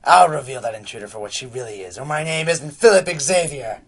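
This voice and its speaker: A man's voice: mid-range voice, animated, fairly quick, a crowd in the background, close to an everyday voice. A man playing a scheming, bumbling pony who mutters melodramatically to himself in a cartoonish radio play. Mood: grumbling, scheming.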